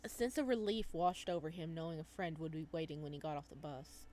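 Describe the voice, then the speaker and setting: A woman hosting a gaming video, reading a visual novel aloud and adding casual, animated commentary. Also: sits low, a little fast.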